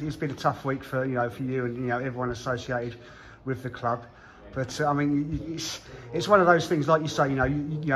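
A man asking casual, halting post-match questions as a sports interviewer. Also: medium pitch, fairly bright tone.

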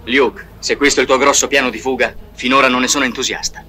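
Man playing cocky, forceful space pilot. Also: medium pitch, fairly even intonation, fast, slight background noise, natural voice. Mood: sceptical. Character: cocky, wry male space pilot and rebel captain.

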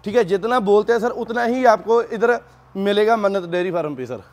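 Guy w a pretty high voice pitching dairy cows, says sir all the time, informal sales talk. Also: a little fast. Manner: repeatedly addresses the listener as sir. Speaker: dairy cattle seller. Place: dairy farm.

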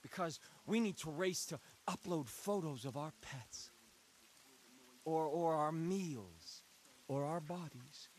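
Intense, deliberate cult leader preaching. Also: male voice, fairly high pitch, expressive, a little mellow. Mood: impassioned, scornful. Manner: deliberate, repetitive, rhetorical build-ups. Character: intense messianic male cult leader preaching to his flock. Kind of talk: dramatic sermon.

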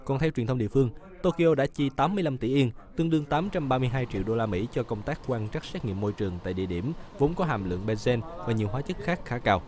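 A man narrates news reports in a brisk, even read. He talks quickly at a middle pitch for a man. It sounds like a close studio voice-over.

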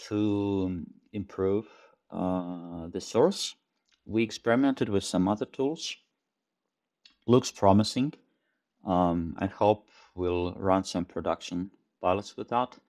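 Low-voiced man, halting and thoughtful. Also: lively intonation, darkish tone. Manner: thoughtful, halting, repeats words while searching. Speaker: tech company CEO guest. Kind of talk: conversational interview over video call. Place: small home room indoors. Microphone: headset over video call.

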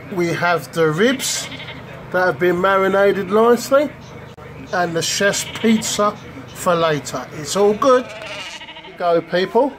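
A man's voice: high-pitched, rough recording. A man giving a casual, jokey running commentary on his home cooking like a showman chef. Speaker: home cook presenting his food. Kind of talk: casual cooking video narration. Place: home kitchen. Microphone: handheld phone behind the camera.

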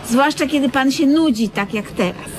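A woman's voice: high voice, normal speed, lightly acted. An actress playing a fussy, doting woman who lectures earnestly and gets flustered in a comic radio play. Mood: doting, chiding. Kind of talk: comedic radio play acting. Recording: slightly noisy old radio recording.

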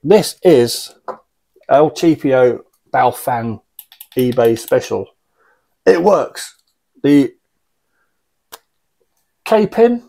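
A man's voice: medium pitch, gravelly, mellow. British man, casual and wryly blunt. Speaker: radio hobbyist reviewing gear. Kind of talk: casual unscripted product review. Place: small indoor radio room.

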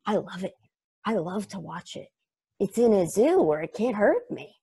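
An irreverent old space probe played by a woman in a stage play read over a video call. The voice is mellow and slightly raspy at a medium pitch, slangy, folksy and sardonic. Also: big pitch swings, normal speed, exaggerated voice. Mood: gleeful, philosophical. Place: home room, over a group video call.

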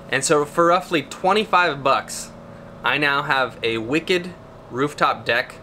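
An enthusiastic man in his thirties talks to the camera outdoors beside his van, friendly and casual, with a laid-back slang-filled delivery. His voice is bright and forceful, at a middling pitch. A faint outdoor hiss sits under the recording. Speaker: vlogger. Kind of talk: upbeat how-to vlog.

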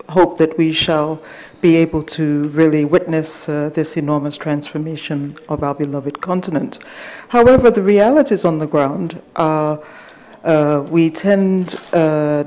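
A soft-voiced middle-aged woman from Ghana speaking at a conference panel in a measured, hesitant way, with many fillers, into a table microphone in a large hall. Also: low-pitched. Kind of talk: panel discussion remarks.

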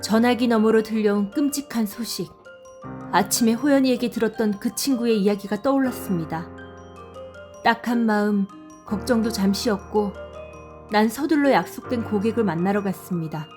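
A woman narrating a scripted audio drama and voicing the mother, with a soft, measured, sorrowful reading. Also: mid-range voice. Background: faint music.

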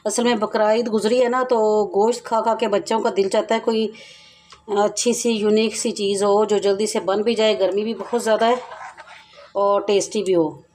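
A woman's voice: medium pitch, fairly even intonation, normal speed, a little mellow, faint music behind. Chatty woman hosting a home cooking tutorial. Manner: chatty, repeats herself, addresses viewers directly. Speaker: cooking channel host. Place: home kitchen, over a stovetop.

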